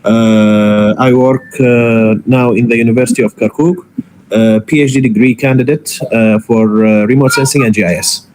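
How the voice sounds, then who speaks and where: A man's voice: medium pitch, fairly even intonation, moderate pace, darkish tone, a little hiss or hum. A man from Iraq, a PhD candidate, introducing himself hesitantly with many fillers on a video call.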